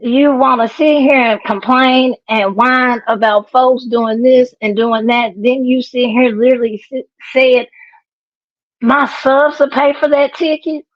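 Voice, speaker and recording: A woman's voice: high voice, fairly even intonation, a little slow, no room sound. A woman caller, blunt and scolding, swearing heavily in casual, heated talk over dull call audio. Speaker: guest caller.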